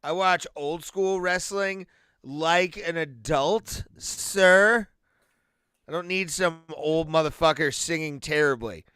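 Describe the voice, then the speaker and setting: A man's voice: a little high, slow, pushed and bright, clear, resonant tone, no echo. A man co-hosting a podcast in casual chat, joking bluntly and crudely. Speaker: podcast co-host.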